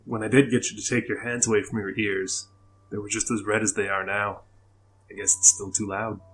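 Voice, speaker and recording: A man playing a caring older brother who reminisces in a halting, conversational way in an audio story. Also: fairly low pitch, level tone, a bit bright, slight background noise, low-quality recording. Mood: tender, wistful. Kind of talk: dramatic audio story dialogue.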